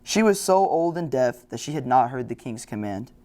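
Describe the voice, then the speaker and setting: A storyteller narrates a scripted fairy tale. The voice sits at a middle pitch and reads from a written storybook text. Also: animated, normal speed, darkish tone.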